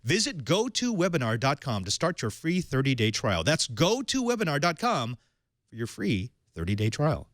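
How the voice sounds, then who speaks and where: A man hosting a tech podcast, reading a sponsor spot and then welcoming and questioning a guest in a lively way. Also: medium pitch, moderate pace, no echo, studio quality. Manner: animated, leading questions with fillers. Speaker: podcast host. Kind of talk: tech show hosting and ad read.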